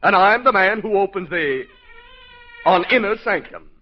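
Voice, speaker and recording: A man's voice: high-pitched, animated, moderate pace. A man playing a pompous, boastful sound effects man in 1940s radio comedy. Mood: haughty. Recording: noisy, muffled 1940s radio broadcast.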